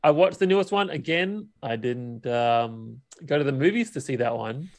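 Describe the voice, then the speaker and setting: A man's voice: medium pitch, animated, moderate pace. A man co-hosting a podcast, discussing films casually in an informal, questioning way. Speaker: podcast co-host.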